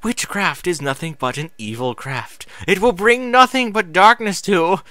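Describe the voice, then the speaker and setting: A man's voice: sits high, expressive, measured pace, pushed and bright, dry recording, voice pushed up, full character voice. A man voicing a stern, disapproving older female alicorn, a royal aunt lecturing in a fantasy story reading.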